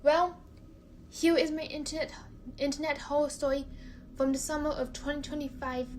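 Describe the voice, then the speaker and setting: A young woman from China narrating a personal story, careful and halting, often repeating words. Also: high-pitched, steady tone, a little mellow, a little hiss or hum.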